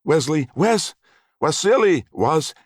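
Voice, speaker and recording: A man's voice: fairly high pitch, very animated, takes it slowly, dry recording, studio quality, pitched above the performer's own voice, exaggerated voice. A man doing a frantic, ranting young man. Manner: shouting, ranting in clipped bursts. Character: frantic young man, bitter, head-banging outburst.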